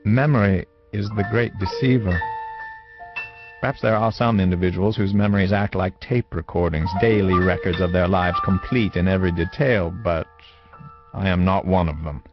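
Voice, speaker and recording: An actor playing a wistful man who recalls his youth in measured, literary first-person dramatic narration. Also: sits low. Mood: wistful, reflective. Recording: dull lo-fi audio with music. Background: music.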